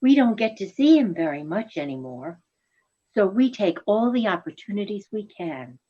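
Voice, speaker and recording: A woman playing a gentle, affectionate reindeer wife in a genteel radio play style. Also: medium pitch, very animated, moderate pace, breathy edge. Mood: fond, wistful. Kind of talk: reader's theatre radio play acting. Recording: dull, muffled recording.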